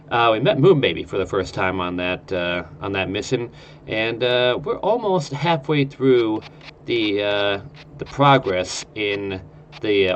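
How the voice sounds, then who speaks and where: A man's voice: fairly low pitch, dull audio, a little hiss or hum. A man with a nasal, stuffed-up voice from a cold, rambling through casual gameplay commentary. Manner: rambling, lots of uh fillers. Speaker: game streamer.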